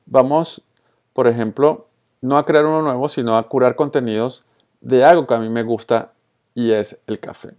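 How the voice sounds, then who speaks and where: Male tutorial presenter, Colombian-Venezuelan accent, relaxed dark tone, mid pitch, informal and spontaneous, drops in English tech words. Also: normal speed. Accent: Colombian-Venezuelan Spanish. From born in Colombia, raised in Venezuela. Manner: informal, mixes in English terms. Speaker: social media tutorial presenter. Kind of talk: casual screencast tutorial, spontaneous narration.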